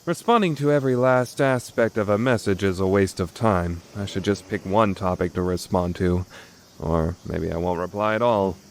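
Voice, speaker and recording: A man playing a grandiose, theatrical young mad scientist in an anime visual novel fan dub, declaiming pompously and quick to take offence. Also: low voice, a little hiss or hum. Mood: musing, self-important. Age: young adult. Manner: pompous, declamatory, easily indignant.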